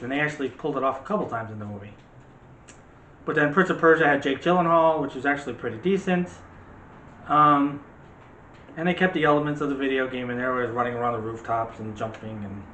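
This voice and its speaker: A man's voice: mid-range voice, fast, bright, forceful tone. A middle-aged man co-hosting a movie channel in a casual, rambling chat with a teasing streak. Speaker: co-host of a movie review channel. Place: room at home. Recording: roomy echo, faint background noise.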